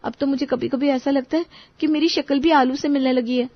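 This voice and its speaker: A woman playing a polite but exasperated young daughter-in-law in a dramatized story, expressive in the way she speaks. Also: high voice, brisk, dry recording, highs cut off. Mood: exasperated complaint. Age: young adult.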